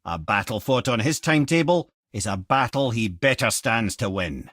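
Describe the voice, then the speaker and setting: Witty old scottish undead head in a video game, wry storyteller, very animated pitch, dry close sound. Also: male voice, normal speed, no room sound. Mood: grave storytelling. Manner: wry, eloquent, old-fashioned storytelling turns of phrase. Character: witty, worldly-wise reanimated severed head of an old storyteller.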